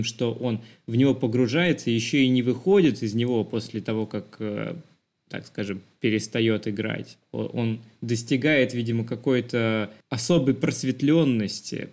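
Male podcast co-host, medium pitch. Casual, reflective film talk, explains things and addresses the audience. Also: darkish tone.

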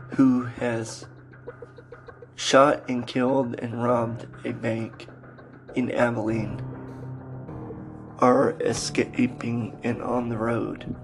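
A man hosting a podcast and introducing episodes, speaking haltingly in short broken phrases. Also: medium pitch, poor audio. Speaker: podcast host.

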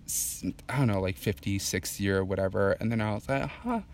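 A young man in casual podcast chat, blunt and quick to laugh, swearing freely. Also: lowish voice, slight background noise. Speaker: podcast co-host.

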